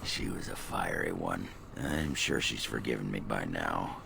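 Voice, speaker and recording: Very deep, gravelly dwarf warrior. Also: male voice, animated, a little slow, sharp tone, a little hiss or hum, exaggerated voice. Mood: gruff, rambling. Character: gruff, lewd, boozy middle-aged male dwarf warrior. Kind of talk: fantasy video game voice acting.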